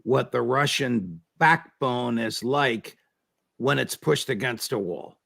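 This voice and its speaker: Gravelly American man, pointed political interviewer. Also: mid-range voice, fairly bright tone. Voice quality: gravelly, creaky voice. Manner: emphatic, deliberate, building short statements into incredulous questions. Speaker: talk show host interviewing a guest.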